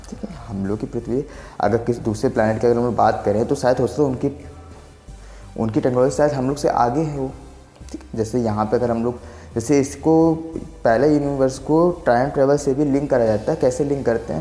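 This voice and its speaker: A man's voice: medium pitch, dark tone, reverberant, in a large hall. A man in his twenties casually explaining a science idea, rambling and often checking that the listener follows. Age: 20s. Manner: rambling, repeats filler checks for agreement. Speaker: science explainer channel host. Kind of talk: casual explainer talk to camera.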